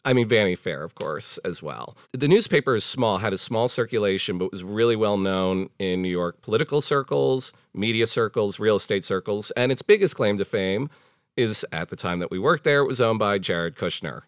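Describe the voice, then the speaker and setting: Easygoing man in his thirties, lower voice. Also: lively intonation, normal speed, no room sound, studio quality. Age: 30s. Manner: easygoing, matter-of-fact explainer. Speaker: guest, journalist. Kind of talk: casual interview chat.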